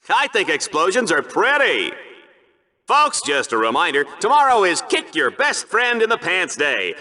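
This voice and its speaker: A man playing a brash, excitable, flippant sports announcer for a video game combat show, with a showy, punchy delivery. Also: high voice, exaggerated voice. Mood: cheeky. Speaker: arena combat show commentator. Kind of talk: over-the-top sports commentary.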